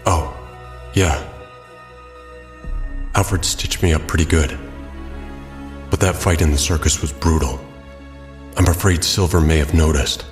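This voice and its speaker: An actor playing a brooding caped vigilante in an audio drama, speaking tersely. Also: male voice, steady tone, measured pace, pauses often, big-room sound, faint music behind. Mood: wounded, terse. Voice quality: very deep, terse. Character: grim brooding caped vigilante, adult man.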